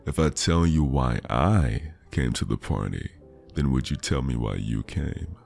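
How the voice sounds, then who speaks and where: A man playing a flirty, charming stranger in an intimate, teasing ASMR roleplay, with a deep, gravelly voice and a lingering delivery. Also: animated, measured pace, darkish tone, soft background music, exaggerated voice. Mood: playful, coaxing. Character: flirty charming male stranger at New Year's party.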